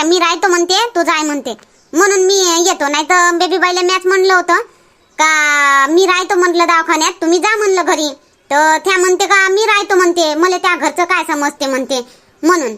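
Cartoon village housewife, put on by a performer, extremely high sharp voice, rural Vidarbha Marathi accent, scolding, talkative. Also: fairly quick. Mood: earnest, chatty. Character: Shanta, busy scolding village housewife and mother. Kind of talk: Marathi cartoon comedy dialogue.

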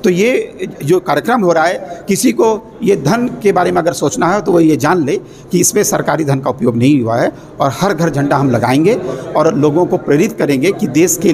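A middle-aged man, a university official, giving an earnest, measured statement to reporters, pausing often to choose his words. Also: on the high side. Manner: earnest, measured speech with many pauses and fillers. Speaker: university official addressing the press. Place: indoor exhibition corridor with people around. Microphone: cluster of handheld press mics. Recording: crowd chatter behind.

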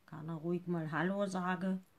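A woman's voice: lowish voice, steady tone, normal speed, vocal fry. A woman in her fifties hosting a casual live makeup tutorial from her living room, chatty and a bit nervous, often trailing off into mumbles, heard through a webcam at desk distance. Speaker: makeup seller and live-stream host.

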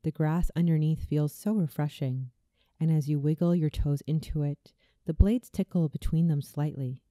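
A woman reading a scripted guided visualization in a soothing, deliberate way, as a meditation narrator. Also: deep voice, fairly even intonation, dark tone, no echo. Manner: soothing, slow, deliberate delivery.

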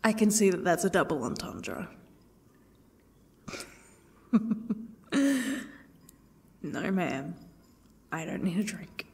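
A woman playing a sarcastic, defiant captive in an ASMR roleplay, swearing and slipping into breathy half-whispers. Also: lowish voice, animated, slow, pauses often, heavily acted. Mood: suspicious, defiant.

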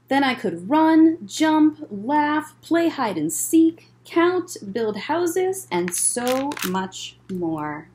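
A woman in her thirties reading a picture book aloud as a children's librarian, in measured phrases with chatty asides. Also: sits high, slight background noise. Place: library room, indoors.

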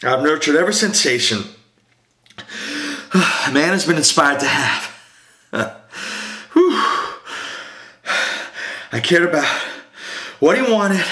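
A man's voice: on the high side, bright, forceful tone, full character voice. A man performing a dramatic monologue as the Devil, a gleeful, scornful tempter who rants theatrically and emphatically against God, sly and confiding or roaring. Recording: roomy, echoing amateur room recording.

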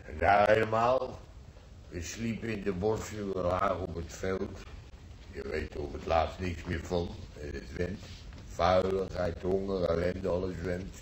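Deep-voiced old war veteran. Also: male voice, fairly even intonation, a little slow. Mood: weary, reminiscing. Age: older. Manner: reminiscing in short plain phrases. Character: old war veteran grandpa, plain-spoken, world-weary storyteller. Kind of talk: Dutch radio play acting. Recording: roomy, distant, faintly noisy old radio recording.

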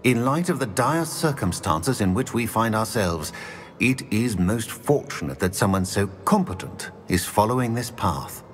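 An actor playing a wise old wizard portrait, formal and reassuring. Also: male voice, on the low side, expressive, moderate pace, soft background music, natural voice. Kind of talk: video game dialogue.

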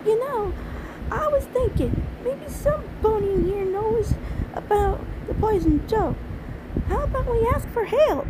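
A woman's voice: very high-pitched, animated, a little slow, background noise. A woman voicing an earnest, friendly little country filly in an amateur cartoon dub. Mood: hopeful.

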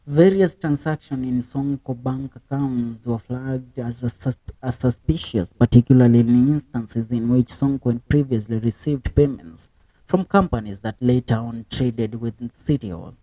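A man's voice: mid-range voice, normal speed, warm tone, no room sound, recorded from a distance, poor audio. A man reading documentary narration, stumbling and restarting words now and then. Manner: reads with slips and restarts. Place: voice-over, not recorded on location.

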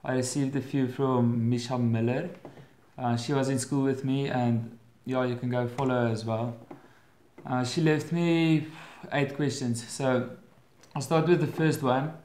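A young man in his twenties with an Afrikaans accent, answering viewer questions in a casual home vlog, often pausing and restarting his phrases. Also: mid-range voice, fairly even intonation, darkish tone, reverberant. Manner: hesitant, restarts phrases with many fillers. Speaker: vlogger. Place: bedroom at home, indoors. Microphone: webcam at desk.

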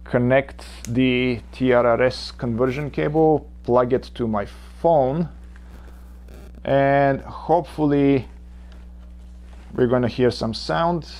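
A man in his forties, a native Bulgarian speaker, demonstrating wireless mic gear in his workshop, explaining step by step with frequent pauses. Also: medium pitch, a little mellow. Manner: slow, methodical explaining with frequent uhs. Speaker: woodshop channel host. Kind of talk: unboxing and gear demo. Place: cluttered home workshop.

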